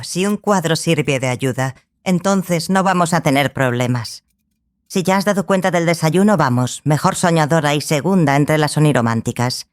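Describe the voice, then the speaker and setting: A woman playing a self-assured sorceress mentor in an audiobook, speaking in a measured, formal, dryly ironic way. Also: low voice. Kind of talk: dramatized audiobook acting.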